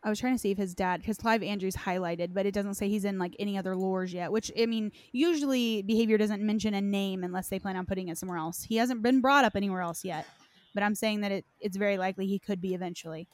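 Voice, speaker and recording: A woman's voice of average pitch, recorded very dry with no room sound. She talks informally as a podcast host, recounting stories in a casual, conversational way. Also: quick pace, dry recording. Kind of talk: casual chat, recounting game lore.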